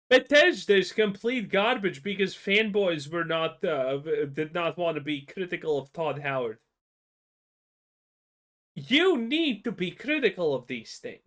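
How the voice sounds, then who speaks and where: A man with a fairly high voice that swings widely, talking casually and bluntly as he reacts on stream. He vents heated, profane opinions. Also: a bit bright.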